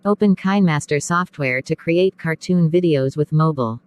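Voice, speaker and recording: A narrator reading a scripted step-by-step software tutorial. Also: mid-range voice, normal speed, dry recording.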